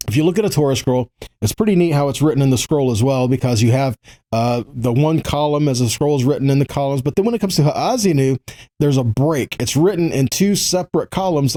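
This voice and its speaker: A man giving a Bible teaching, reading quotations and commenting on them, pausing to repeat key lines for emphasis. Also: on the high side, warm tone.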